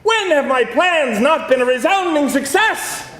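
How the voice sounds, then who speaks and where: Melodramatic vampire played by an actor at a table read, slow and grandiose, echoey room. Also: high voice, very animated, crowd noise. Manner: theatrical, grandiose declaiming. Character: melodramatic, grandiose man turned vampire, heroic and self-pitying. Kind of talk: live screenplay table read. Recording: echoey live room recording.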